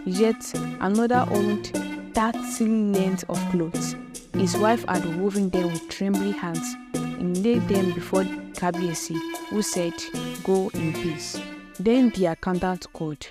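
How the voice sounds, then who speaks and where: A woman's voice: mid-range voice, unhurried. A woman narrating a folktale in a dramatic, vivid storytelling style. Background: music.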